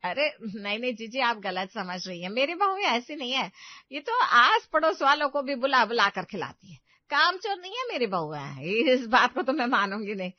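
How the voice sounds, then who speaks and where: Storybook matriarch acted by a female narrator: older, scolding, emphatic, fairly high, slightly dull sound. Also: very animated, normal speed, no echo. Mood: defensive, insistent. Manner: emphatic, nagging. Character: older mother-in-law, loud, scolding, protective family matriarch.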